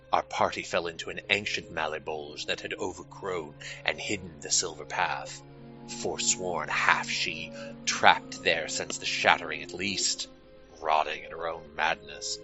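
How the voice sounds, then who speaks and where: Casting: man as a grave, sorrowful immortal fae noble for audio drama; very low pitch, stately halting delivery, scored with music. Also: poor audio. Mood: grave, haunted. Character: weary, haunted fae storyteller-lord, mournful and gravely formal. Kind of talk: fantasy audio drama acting.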